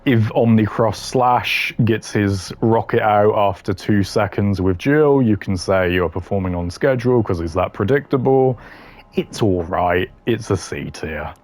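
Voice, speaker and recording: Slow-talking, low-pitched blunt man. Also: darkish tone. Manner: blunt, slangy. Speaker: gamer ranking game voice lines. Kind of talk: casual opinionated commentary.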